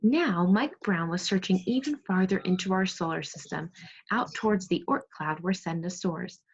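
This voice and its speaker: A woman teaching second grade over a video call, reading aloud, giving clear instructions and prompting pupils with questions. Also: fairly low pitch, moderate pace, a little hiss or hum. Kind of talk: interactive read-aloud lesson on video call. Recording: dull, muffled video call audio.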